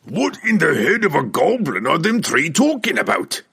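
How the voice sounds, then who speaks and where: A man voicing a burly, battle-hungry fantasy warrior with loud, blustering, rustic speech for an audiobook. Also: on the high side, wildly swooping pitch, moderate pace, creaky, breathy edge, pitched above the performer's own voice, exaggerated voice. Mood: rowdy glee.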